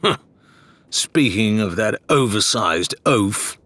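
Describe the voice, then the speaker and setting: A man's voice: lowish voice, lively intonation, takes it slowly, fairly bright tone, no echo, full character voice. A man voicing a sceptical, scornful, greedy pirate in an audiobook, with sarcastic delivery. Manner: sarcastic, sneering.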